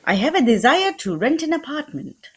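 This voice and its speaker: A woman playing a proud, fussy, courteous Belgian male detective in a radio drama, with a put-on French accent and a formal, precise, pompous, husky delivery. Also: high voice, very animated, moderate pace. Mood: formal, insistent. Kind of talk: old-time radio drama acting.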